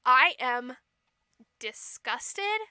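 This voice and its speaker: Comic fairy-tale king, played by a woman: outraged, vengeful, foul-mouthed, very sharp bright tone. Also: high voice, big pitch swings, very slow, clear, resonant tone, no echo, studio quality, exaggerated voice. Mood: disgusted. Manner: outraged, blustering.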